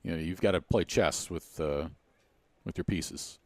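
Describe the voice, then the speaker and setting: Low-voiced male baseball color commentator. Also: measured pace, no room sound. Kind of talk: conversational sports analysis.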